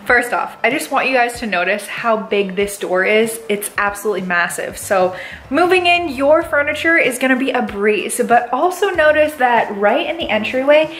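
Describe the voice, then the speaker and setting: Bubbly high-voiced woman. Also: expressive, normal speed, a bit bright, faint music behind. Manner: bubbly, enthusiastic, gushing. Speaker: real estate agent. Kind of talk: upbeat house tour vlog. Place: inside a large empty house. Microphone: handheld camera at arm's length.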